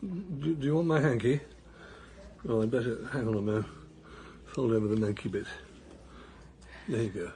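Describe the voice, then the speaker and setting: An actor playing an earnest, gently awkward older man in a radio drama, hesitant at times. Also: mid-range voice, normal speed, long pauses, a little hiss or hum, close to an everyday voice.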